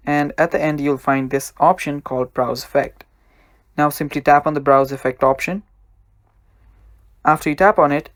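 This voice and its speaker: A man's voice: fairly high pitch, no echo, studio quality. A man hosting a casual step-by-step tutorial as a voice-over, addressing viewers directly with simple instructions. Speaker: tutorial host.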